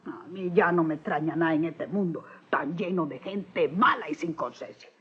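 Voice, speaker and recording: Husky, indignant old nursemaid. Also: female voice, low-pitched, moderate pace. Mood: indignant, reproachful. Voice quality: husky, gravelly. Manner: emphatic, folksy, reproachful. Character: indignant humble older nursemaid confronting a gentleman, devoted and blunt. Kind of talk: old melodramatic radio-soap acting. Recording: dull lo-fi old drama recording.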